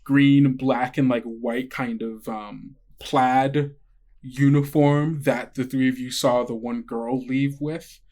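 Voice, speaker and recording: A man running a tabletop game as game master, narrating off the cuff in a halting, conversational way. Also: medium pitch, steady tone, a little mellow.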